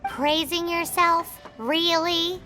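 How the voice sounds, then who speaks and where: A woman voicing a tiny, cheeky, childlike fairy girl in a video game, exclamatory and excitable. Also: very high voice, slow pace, soft background music, exaggerated voice. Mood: teasing. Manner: exclamatory, refers to herself in third person. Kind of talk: animated video game acting.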